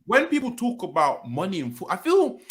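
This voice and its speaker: Male guest, bright and lively, quick and excitable delivery. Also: high-pitched. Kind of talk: casual chat.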